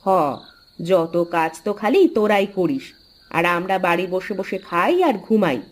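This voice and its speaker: A woman voicing a chatty, expressive village housewife and sister-in-law in a Bengali cartoon. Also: mid-range voice, measured pace. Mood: nagging.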